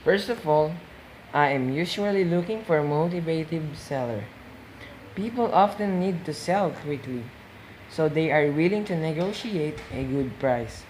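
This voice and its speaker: A man playing a calm real estate investor who explains his views didactically in a scripted student radio play interview. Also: fairly high pitch, measured pace, poor audio. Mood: firm, explaining. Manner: didactic, repeats phrases for emphasis. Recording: faint background noise.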